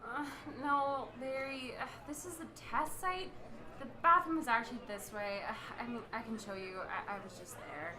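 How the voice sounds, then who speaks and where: A woman's voice: a little high, moderate pace, crowd noise, natural voice. A woman playing a scatterbrained young radio reporter in an amateur radio play, rambling and stammering as she goes. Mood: awkward. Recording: roomy, echoing sound.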